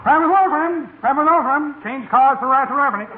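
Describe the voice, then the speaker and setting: A man's voice: very high voice, fast talker. A man playing a streetcar conductor in an old radio comedy, bawling garbled, mush-mouthed stop announcements in an auctioneer's chant. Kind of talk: 1940s radio comedy acting. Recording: noisy, tinny old radio, echoey, audience behind.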